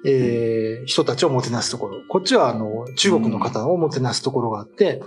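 A man's voice: medium pitch, expressive, a little fast. A middle-aged man explaining things step by step in a casual chat about folklore. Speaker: writer and panelist. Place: small indoor studio at a table. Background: faint background music.

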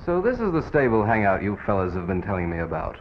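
A man's voice: lowish voice, big pitch swings, fast talker. A man playing a mild, uneasy, well-behaved youth in an old film drama. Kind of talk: 1940s film drama acting. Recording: dull old film soundtrack, faint noise.

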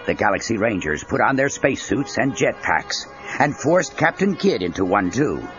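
A man's voice: fairly low pitch, big pitch swings, moderate pace. A man narrating a children's adventure story in a scripted, dramatic style. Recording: dull cassette with music underneath. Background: background music.

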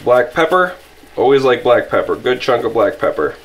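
A relaxed young man talks through a recipe while he cooks in a home kitchen, his voice at an ordinary middle pitch. He rambles easily, restarts his sentences, and keeps things casual and unhurried. Faint clicks and kitchen noise sit behind him. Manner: easygoing, apologetic, rambling with restarts. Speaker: outdoor vlogger cooking camp meals. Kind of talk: casual how-to cooking narration. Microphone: camera filming from above the stove.

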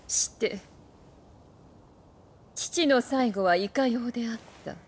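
A woman's voice: on the high side, slow pace, a little mellow, breathy edge. An actress playing a cool, composed, proud noblewoman in a samurai game, speaking in formal archaic style, with background noise. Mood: cool, probing. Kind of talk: Japanese samurai game voice acting.

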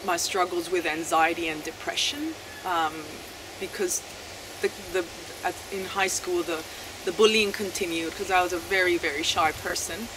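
A woman in her thirties, originally from Chile, speaks softly and haltingly, pausing often and restarting her sentences. She sits outdoors in a garden, picked up by a camera a few meters off. Steady outdoor noise sits clearly behind her voice. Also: on the low side. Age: 30s. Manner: soft-spoken, halting, many fillers and restarts. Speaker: interviewee sharing her testimony. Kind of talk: personal testimony, unscripted. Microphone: camera mic at a few meters, seated.

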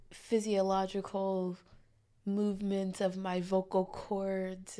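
A woman co-host with a slightly nasal voice, speaking slowly with heavy vocal fry. She teases her partner in a dry, laughing way on a studio podcast mic. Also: medium pitch, warm tone. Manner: teasing, dry, laughs often. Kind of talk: casual podcast banter.

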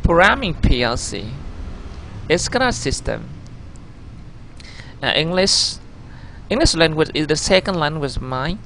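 Vietnamese-accented man, 30s, gravelly voice, slightly high, halting self-corrections, faint background hum. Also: sing-song, bright, forceful tone. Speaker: job applicant. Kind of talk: video CV voice-over over slides.

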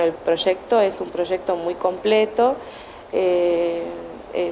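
A woman's voice: fairly low pitch, dark tone, low-quality recording. Teacher lady, 30s, lots of uhs and restarts, close handheld mic indoors, bit of background hum. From Argentina. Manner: hesitant, many fillers and restarts. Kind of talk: news interview answers. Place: indoor room at a school event. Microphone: handheld TV station microphone held close.

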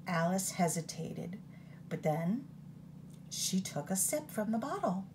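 A woman reading a picture book aloud in an expressive storytime manner, recorded on a phone held over the book. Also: medium pitch, takes it slowly, background noise.

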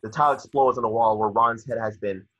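A man's voice: mid-range voice, steady tone, fast, fairly bright tone, dry recording. A young man narrating scripted stage directions from a screenplay over a video call. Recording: dull, muffled video call audio.

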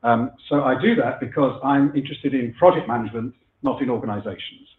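Husky man giving a conference keynote. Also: mid-range voice, fairly quick. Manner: chatty, self-deprecating, frequent fillers and restarts. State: husky from a cold. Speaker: keynote speaker. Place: lecture room before a live audience. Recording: echoey room over a phone-like line.

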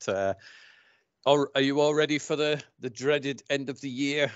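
A man's voice: mid-range voice, steady tone, normal speed, dry recording. A man in his forties hosting a podcast, asking his guest friendly prompting questions over a headset from a home studio. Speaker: podcast host. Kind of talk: casual podcast interview chat. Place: home studio room, indoors. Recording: dry, slightly dull call audio.

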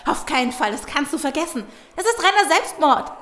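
An actress playing an alarmed, outspoken survivor in an audio drama. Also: female voice, high voice, big pitch swings, a little fast, a bit bright. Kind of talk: German audio drama acting. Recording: echoey room, faint noise.

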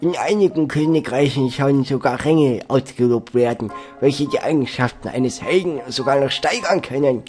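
A man's voice: medium pitch, normal speed, hardly any pauses, a little mellow, pitched above the performer's own voice, natural voice. A man voicing a worried older village woman in a role-playing game, reading her lines in a raised voice. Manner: raised voice, reading dialogue boxes aloud. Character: kindly old village mother, worried woman. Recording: faint game music behind.

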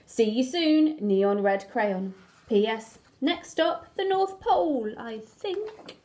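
A woman's voice: a little high, lively intonation, slow, warm tone, voice pushed down. A woman voicing a cheerful, clueless globe-trotting red crayon from a storybook, breezily unsure of itself. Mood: breezy, clueless.

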